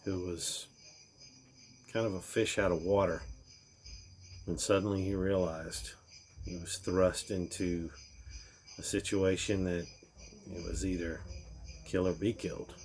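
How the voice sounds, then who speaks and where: A man reading a rhyming storybook aloud in a theatrical way, with deliberate pauses. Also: low-pitched, little pitch movement, a little mellow, slight background noise. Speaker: reader of a storybook.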